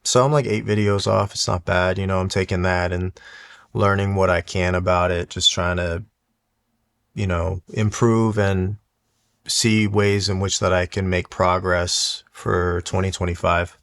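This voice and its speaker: A man talking casually and reflectively in a monotone, low-key, unhurried way, like a personal video diary for his hobby channel. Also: vocal fry, clean tone. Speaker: YouTube watch collector and content creator. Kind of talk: casual reflective solo vlog talk.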